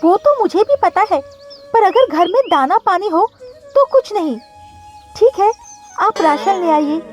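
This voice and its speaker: A woman's voice: extremely high pitch, expressive, unhurried, darkish tone, faint music behind, voice pushed up. A woman voicing a practical, anxious pigeon wife with a fretful manner in a cartoon story. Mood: reluctant.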